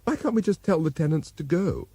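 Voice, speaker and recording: Man putting on a high, girlish, indignant young wife. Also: animated, fairly quick, mellow, slightly rough, no room sound. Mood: aggrieved.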